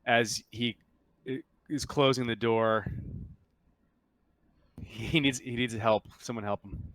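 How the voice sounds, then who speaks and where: A man working as game master, narrating a tabletop game in a halting, conversational, improvised way. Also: mid-range voice, fairly even intonation, fairly bright tone.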